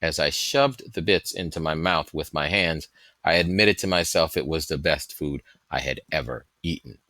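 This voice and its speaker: A man reading a story aloud as an audiobook narrator, with expressive, dramatic and deliberate phrasing. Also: rumbling low, no room sound. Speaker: audiobook reader.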